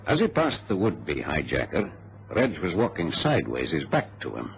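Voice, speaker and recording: A passenger narrating, low dramatic voice, swoops around a lot, old muffled radio drama. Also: male voice, huge pitch swings, moderate pace, creaky, full character voice. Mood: grave recounting. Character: male passenger gravely recounting a hijacked flight. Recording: muffled old radio recording, faint noise.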